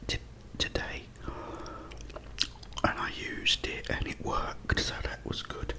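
A man's voice: takes it slowly, pushed and bright. A middle-aged man with a British accent, whispering a rambling, chatty story as an ASMR creator in a dim room, close to the mic. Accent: British English. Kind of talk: whispered ASMR chat while eating. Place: dim indoor room at a table.

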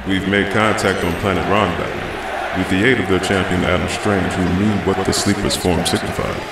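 A man's voice: low-pitched, steady tone, normal speed, far from the mic, very noisy. A man playing a solemn, patient superhero in a comic-book drama, formal and serious, in an echoey sound. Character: solemn, patient male superhero delivering status report. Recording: echoey, loud music under voice. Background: loud music.

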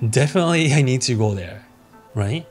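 A man's voice: medium pitch, expressive, fairly quick, soft background music. A Japanese man with a Japanese accent giving casual, playful gameplay commentary, reacting aloud and laughing often. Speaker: gaming streamer.

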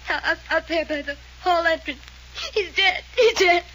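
A woman's voice: extremely high pitch, moderate pace, sharp tone. An actress playing a terrified woman, crying, in an old-time radio mystery. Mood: terrified, sobbing. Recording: noisy old radio recording.

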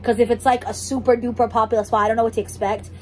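A woman's voice: a little high, flat delivery, very fast, a little hiss or hum. Chatty young woman vlogging. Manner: chatty, lots of "like" and restarts. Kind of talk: casual vlog chat. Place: home kitchen.

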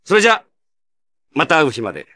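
Video game hero, earnest and encouraging big-brother type, slightly high pitch, animated delivery aimed at kids. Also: male voice, quick pace, full character voice. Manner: clear, emphatic, addressing children directly. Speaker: hero narrator of a card quiz mode. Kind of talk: scripted game dialogue addressed to a young player.

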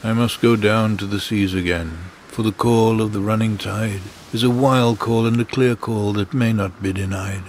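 A man reading a poem in a solemn, measured, deliberate recitation. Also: on the low side, fairly even intonation, darkish tone. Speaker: poetry reader. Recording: clear background ambience noise.

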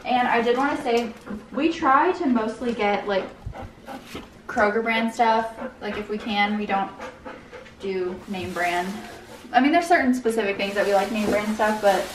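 A young American woman chats casually in a mid-pitched voice, vlog style. She sounds a little way from the mic in an echoey room, with noticeable noise behind her. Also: unhurried, recorded from a distance. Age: young adult. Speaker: vlogger. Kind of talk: casual chat from behind the camera. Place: open kitchen and dining room at home.

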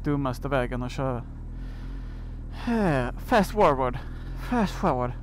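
A man's voice: mid-range voice, animated. A man hosting a motorcycle vlog, chatting casually and jokily in a rambling way as he rides. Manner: rambling, jokey, with playful slang asides. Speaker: motorcycle vlogger and host. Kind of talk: casual chat while riding. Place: outdoors, riding a motorcycle on the road. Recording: loud wind and road traffic noise.

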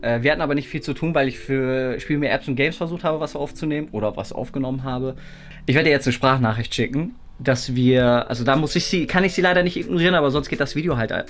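A man's voice: medium pitch, fast talker. A man in his thirties chatting casually as host of an online video. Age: 30s. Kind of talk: casual vlog chat. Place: indoor office rooms and stairwell. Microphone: handheld or propped vlog camera. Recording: echoey room, loud music behind. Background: music.

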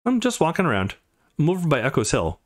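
A man's voice: a little high, huge pitch swings, quick pace, pitched above the performer's own voice. A man voicing an apologetic young male student in a visual novel, sheepish and reassuring.

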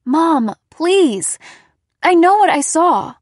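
A woman acts out an upset teenage girl in an audiobook drama. Her voice sits very high and sounds anxious and defensive, pleading to be believed. The sound is close and dry. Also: big pitch swings, very fast, dry recording. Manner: stammering, shrill when upset.

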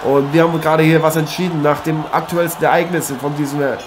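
A man playing a boxer who co-commentates in dramatic dismay, rambling and halting, in improvised parody live commentary. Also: fairly high pitch, little pitch movement, close to an everyday voice. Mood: earnest. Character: American boxer Matthew Ironhead co-commentating, dramatically aghast. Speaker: boxing co-commentator. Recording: noisy amateur recording with ambience.

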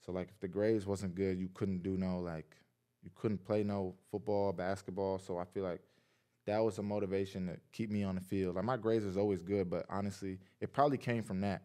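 A man in his twenties from Massachusetts, a guest in a casual podcast interview, telling stories in a relaxed, laid-back way with lots of "like.". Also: deep voice, fairly quick. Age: 20s. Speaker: guest, pro football player. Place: small indoor podcast studio. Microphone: boom mic on arm beside him.

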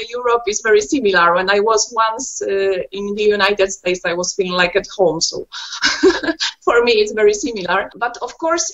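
A Polish woman answers a podcast interview in careful second-language English. She speaks earnestly, pausing on "uh" and checking in with "yes?". Her voice comes through a slightly dull, muffled line, and her pitch sits in a middling range. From Poland. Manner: earnest, frequent "uh" and tag "yes?". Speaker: musician guest. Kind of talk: interview over a call.

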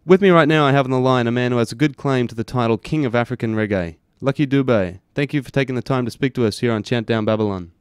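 A man's voice: medium pitch, fast, hardly any pauses, smooth, no echo. A man, interviewing a guest by phone for radio. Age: early 20s.

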